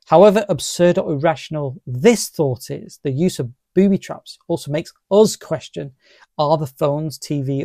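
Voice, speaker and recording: A middle-aged man presenting a scripted legal explainer in a formal, deliberate manner. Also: on the high side, dark tone, dry recording, clean studio sound. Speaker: presenter of legal education video.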